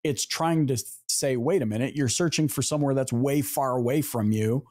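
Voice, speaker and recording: A man hosting a podcast, talking casually to his audience about marketing in an emphatic way with asides and pauses for effect. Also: medium pitch, a little mellow. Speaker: podcast host. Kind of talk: casual marketing talk to viewers.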